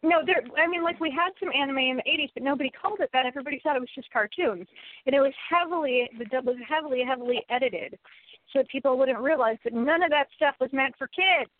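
A woman's voice: sits high, fast talker, a little hiss or hum. An American woman chatting casually and giving emphatic opinions, repeating phrases for stress. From United States. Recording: thin phone-like audio.

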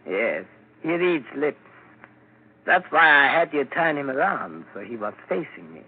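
An actor playing a composed, probing doctor in a 1940s radio drama, measured and authoritative. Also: male voice, medium pitch, sing-song, husky, heavily acted. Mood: probing, musing. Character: composed, probing male doctor treating mental cases. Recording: crackly, narrow-band 1940s radio broadcast.

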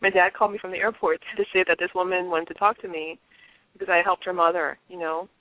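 A woman's voice: fairly low pitch, steady tone, quick pace, no room sound. A woman from Liberia, an interview guest, telling her own story in an earnest and reflective way. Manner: earnest, reflective, with frequent restarts. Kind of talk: personal testimony in an interview. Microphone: telephone. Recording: tinny dry phone line.

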